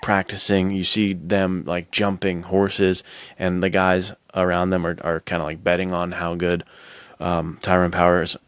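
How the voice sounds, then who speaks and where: A man's voice: deep voice, completely flat delivery, a little slow, clear, resonant tone, no echo. An American man co-hosting a podcast, chatting about films in an informal, slangy, enthusiastic way. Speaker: podcast co-host. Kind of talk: casual film chat.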